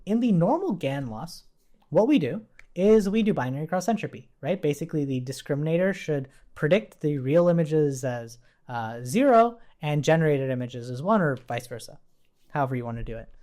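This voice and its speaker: A man's voice: fairly high pitch, a little mellow. A man giving a casual coding tutorial as an instructor, informally thinking aloud as he works. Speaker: programming instructor.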